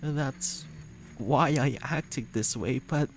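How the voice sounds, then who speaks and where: A man voicing a gentle, insecure young man in a dating game, speaking in a halting, earnest, emotional way. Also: mid-range voice, noisy background. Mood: sad, confessing. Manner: halting, earnest, tender delivery. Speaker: voice actor reading a visual novel. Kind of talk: emotional dramatic game dialogue reading. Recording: music playing under the voice. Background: music.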